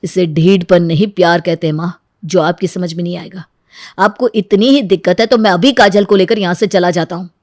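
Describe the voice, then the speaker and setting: A woman's voice: lowish voice, steady tone, a little fast, darkish tone, no echo, lightly acted. A woman puts on a grown son and husband in a moral story. The delivery is blunt and firm, in a close, dry recording. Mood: firm, angry. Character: dismissive, then firm and loyal adult son and husband. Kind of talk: Hindi moral story voice acting.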